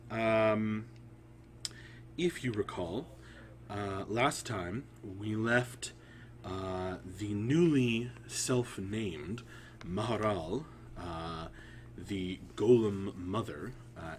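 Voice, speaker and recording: A man hosting a storytelling livestream, speaking haltingly with frequent pauses. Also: on the low side. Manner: halting, pausing often. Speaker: writer, editor and stream host. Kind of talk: casual livestream chat and story reading. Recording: audible background hum and noise.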